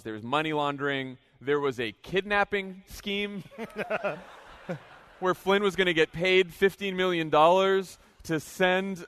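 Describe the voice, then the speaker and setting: An American man hosting a casual political panel, speaking in a wry, animated way. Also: on the high side, clear, resonant tone. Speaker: podcast host moderating a panel. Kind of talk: casual political panel talk before an audience.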